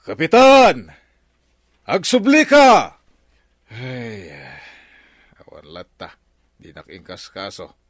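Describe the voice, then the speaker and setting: Puzzled man, wildly varied pitch. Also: wildly swooping pitch, takes it slowly, long pauses, dry recording, clean studio sound, full character voice. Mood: puzzled, calling out. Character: puzzled man calling after village captain. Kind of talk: Ilocano radio comedy drama acting.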